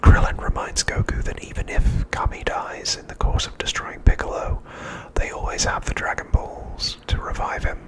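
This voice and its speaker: A man narrating a scripted recap for ASMR in a breathy, unvoiced whisper, with a hushed, measured delivery. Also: poor audio. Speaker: ASMR host.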